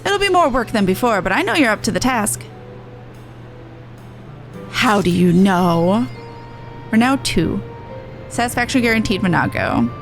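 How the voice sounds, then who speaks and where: A woman's voice: medium pitch, very animated, unhurried, pauses often. A woman game streamer reading dialogue aloud with chatty reactions, stumbling and correcting herself as she goes. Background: video game music.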